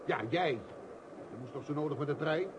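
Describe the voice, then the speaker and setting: An actor playing a sarcastic, grumbling husband on a tiresome journey in a radio play. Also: male voice, on the high side, fairly quick, lightly acted. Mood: irritated, sarcastic. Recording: dull old recording, clear noise.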